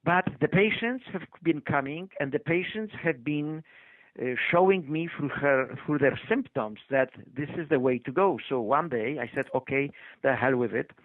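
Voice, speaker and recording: A man's voice: fairly high pitch, normal speed, no echo. Older Polish-accented man on a phone line. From Poland. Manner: hesitant, many fillers and restarts. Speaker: interview guest, doctor. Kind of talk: conversational interview storytelling. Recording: tinny phone-line audio, dry.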